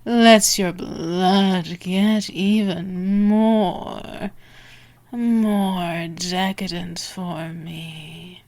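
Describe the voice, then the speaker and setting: A woman playing a dominant, predatory female vampire in an ASMR roleplay, taunting and sultry, often slipping into breathy whispers. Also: fairly low pitch, fairly even intonation, drawn-out words, a little mellow. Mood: hungry, sultry. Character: dominant, teasing, predatory female vampire stalking her prey.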